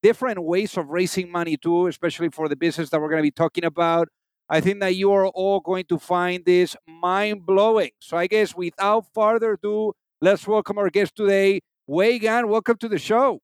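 A man's voice: high-pitched, steady tone, normal speed, dry recording. A man hosting an interview show, introducing his guest with enthusiasm. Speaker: podcast host.

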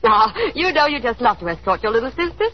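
A woman's voice: fairly high pitch, big pitch swings, fast talker. An actress playing a hopeful young small-town woman who puts on carefree airs, chattering and gushing nervously in a 1930s radio drama style. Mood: coquettish. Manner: girlish, chattering, nervously gushing to cover embarrassment. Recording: muffled 1930s radio broadcast.

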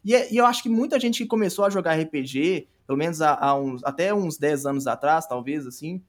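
Um homem com sotaque brasileiro conversando informalmente num podcast, como convidado e criador de audiodrama. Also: male voice, fairly high pitch, animated, fairly quick. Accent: Brazilian. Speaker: podcast guest, audio drama creator. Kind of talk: casual podcast chat. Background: music.

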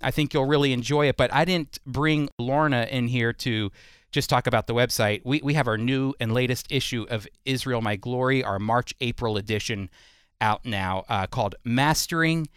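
A man's voice: mid-range voice, moderate pace, a bit bright, clean tone, dry recording, studio quality. A man hosting a radio interview, talking conversationally in an earnest, emphatic manner. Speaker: radio host.

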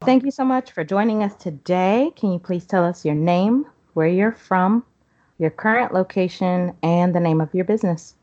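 Woman, podcast host, somewhat low pitch, conversational interviewing. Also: moderate pace, warm tone, muffled recording, soft background music.

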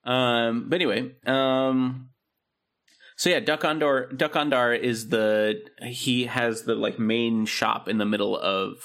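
A man's voice: mid-range voice, fairly even intonation, slow pace, fairly bright tone. A man co-hosting a podcast, chatting casually and rambling with many fillers and restarts. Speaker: podcast co-host. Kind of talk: casual chat.

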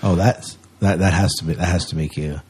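A man's voice: deep voice, fairly even intonation, a little fast, darkish tone, breathy edge. A man co-hosting a podcast in casual, unscripted chat, often repeating his phrases. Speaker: podcast co-host.

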